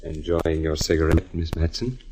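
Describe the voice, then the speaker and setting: A man playing a menacing, deranged killer with a slow, measured, theatrical delivery in an old-time radio crime drama. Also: very deep voice, mellow, rough recording. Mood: quietly menacing. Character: menacing, deranged male killer and failed artist.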